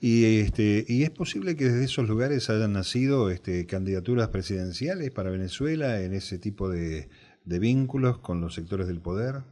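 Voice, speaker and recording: A man with an Argentine accent hosts a conversational interview. His voice sits somewhat low. He puts questions and comments to his guest as in a chat. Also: lively intonation, normal speed, dark tone. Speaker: interviewer, program host.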